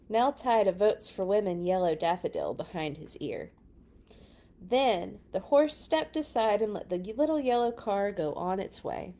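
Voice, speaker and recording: Expressive woman reading a children's story aloud. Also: mid-range voice. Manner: expressive, dramatic emphasis with chatty asides to listeners. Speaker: children's read-along storyteller. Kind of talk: animated children's picture-book read-aloud.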